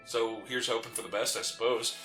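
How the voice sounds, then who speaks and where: A man's voice: mid-range voice, steady tone, a little fast, pushed and bright. A man co-hosting a gaming let's play, chatting casually with joking, teasing banter. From a city, with mountain family roots. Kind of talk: casual chat, game commentary. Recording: roomy echo, faint game music behind. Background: faint game music.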